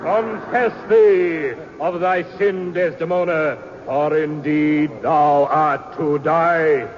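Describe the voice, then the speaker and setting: An actor playing a pompous, grandiloquent touring tragedian, declaiming florid lines in a theatrical 1950s Western radio drama style. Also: male voice, fairly high pitch, steady tone, slow, over music. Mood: grave, tragic. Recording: muffled old radio, echoey hall, crowd behind.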